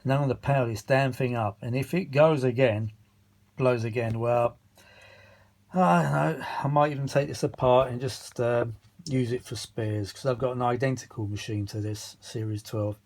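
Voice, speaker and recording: A man's voice: medium pitch, fairly even intonation, normal speed, a little mellow. A tired man with a British accent giving a casual, rambling, unscripted repair commentary, muttering and grumbling with mild swearing as he searches for words. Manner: grumbling, mildly sweary, exasperated. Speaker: vintage audio repair vlogger.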